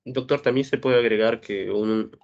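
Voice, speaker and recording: Flat, gravelly male student voice. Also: mid-range voice, normal speed, muffled recording. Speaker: medical student. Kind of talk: answering in class.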